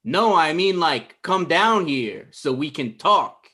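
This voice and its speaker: A man's voice: fairly high pitch, animated, slow pace, sharp tone, heavily acted. A young man acting an anxious, indignant, goofy boyfriend in a naturalistic script read over a video call. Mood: goofy, combative. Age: young adult. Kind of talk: acted pilot table read, naturalistic. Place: small room at home, video call.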